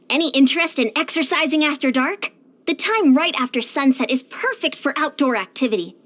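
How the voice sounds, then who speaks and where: Game role: pint-sized youthful girl trainer, high and animated, peppy, earnest motivator. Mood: friendly, encouraging. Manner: peppy, encouraging, earnest, self-disciplined. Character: short, youthful, upbeat female fitness coach of superhuman strength. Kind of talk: video game character voice lines.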